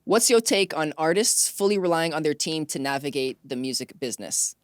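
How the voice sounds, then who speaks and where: A man's voice: on the high side, expressive, brisk, smooth, no room sound, studio quality. A man hosting a podcast interview, asking conversational questions and introducing segments.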